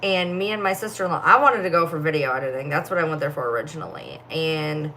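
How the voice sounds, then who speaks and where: A woman's voice: low voice, lively intonation, fast talker, sharp tone, slight background noise. A young woman chatting casually on a phone livestream, sleepy and yawning, with a distinctive voice and lots of filler words. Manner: rambling, heavy filler words. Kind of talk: casual live-stream chat reading comments.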